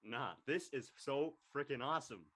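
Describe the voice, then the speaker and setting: A man's voice: medium pitch, normal speed, sharp tone. A man playing an upbeat, laid-back teenage boy, a casual, encouraging best friend in a comedy drama. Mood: excited. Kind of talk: comedic scripted audio drama dialogue.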